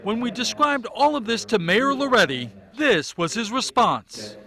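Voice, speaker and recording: A man's voice: very high-pitched, big pitch swings, unhurried, over a noisy crowd. A man narrating a scripted investigative television news report, with music behind him.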